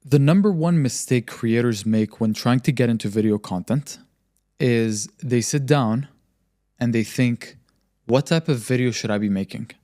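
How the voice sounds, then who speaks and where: A man's voice: medium pitch, normal speed, dark tone. A young man talking on a podcast in an assured, explanatory way. He lays out his advice plainly and hammers points home by repeating them. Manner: confident, explanatory, frequent emphatic repetition. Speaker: podcast host and marketing agency founder.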